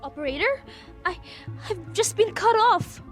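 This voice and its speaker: A woman's voice: very high-pitched, lively intonation, unhurried, faint music behind. A young woman playing a frantic, imperious married woman in a suspense radio drama, melodramatic and demanding. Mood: distraught. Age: young adult. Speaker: student actor in a class radio drama.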